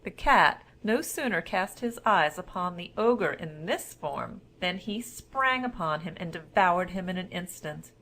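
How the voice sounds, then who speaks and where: A woman storyteller narrating a scripted fairy tale in a formal, measured manner. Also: on the low side, expressive, a bit bright.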